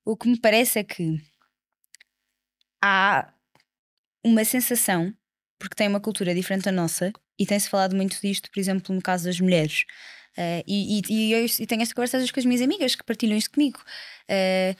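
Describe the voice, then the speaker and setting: A woman's voice: mid-range voice, brisk, no room sound. A young woman in her twenties with a European Portuguese accent, a politician guest on a casual podcast who explains her views at length, earnestly and with many fillers. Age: 20s.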